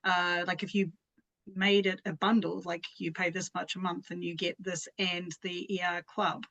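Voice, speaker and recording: Mid-pitched audience member making a suggestion. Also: little pitch movement, moderate pace, sharp tone, lo-fi sound, a little hiss or hum. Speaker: audience member asking a question. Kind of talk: conversational question and suggestion.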